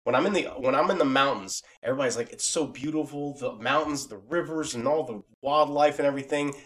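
A man's voice: medium pitch, fast talker, fairly bright tone. Earnest, rambling man co-hosting a podcast. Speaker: podcast co-host. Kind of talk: casual, unscripted interview talk.

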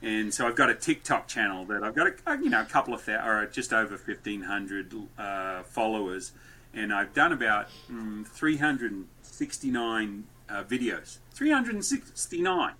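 A man guesting on a podcast, telling stories and dad jokes loudly in a rambling, lively way. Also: lowish voice, normal speed. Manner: loud, joke-telling, rambling. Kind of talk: lively conversational storytelling.

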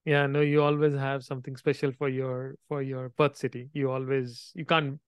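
A man hosting a podcast interview, friendly and a bit halting as he asks questions. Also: medium pitch, little pitch movement, clean tone, no room sound. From India. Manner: friendly, halting phrasing with restarts. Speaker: podcast host.

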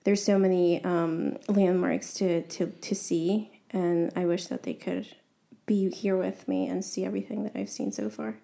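Earnest woman guest from New York, with a dark, gravelly voice. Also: lowish voice, unhurried. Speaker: podcast guest. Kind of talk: casual podcast chat.

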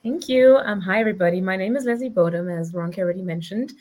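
A woman's voice: medium pitch, fast, a little mellow. A woman from Germany giving an upbeat self-introduction on a video call, with frequent ums. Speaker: community co-founder.

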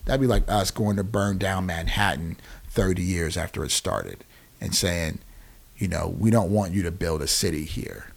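A man's voice: low-pitched, moderate pace, gravelly. An American man in his forties explaining things conversationally as an interview guest, emphatically and often checking that the listener follows. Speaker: podcast guest, nonprofit founder.

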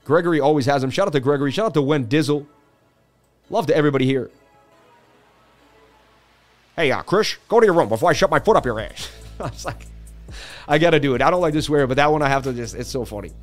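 A man's voice: fairly high pitch, lively intonation, fast talker, pauses often, soft background music. A man hosting a casual crypto live stream, rambling and laughing a lot.

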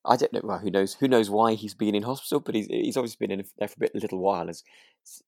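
A man with an English accent, from near Birmingham, co-hosting a relaxed podcast chat and often rephrasing mid-sentence. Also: on the low side, very fast, creaky, dry recording, studio quality. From near Birmingham, England. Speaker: podcast co-host.

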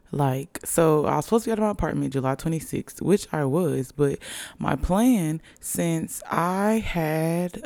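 A young woman hosting her own podcast, chatting casually and playfully in a raspy, hoarse voice after a long night. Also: deep voice, a little mellow, no room sound. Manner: chatty, playful, hyping herself up. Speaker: podcast host. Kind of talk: casual solo podcast chat. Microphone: podcast microphone.

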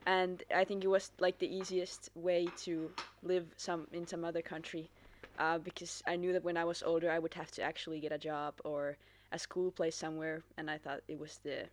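A woman's voice: lowish voice, flat delivery, moderate pace. A young woman from Finland, a podcast guest chatting in an easygoing way. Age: young adult. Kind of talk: casual podcast chat.

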